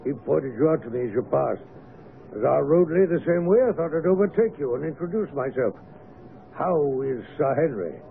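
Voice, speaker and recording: An actor playing an affable, eccentric naturalist neighbour with a sinister edge, chatty and courteous, in a radio drama. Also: male voice, fairly high pitch, expressive, moderate pace, creaky. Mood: friendly. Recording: noisy phone-like vintage radio broadcast.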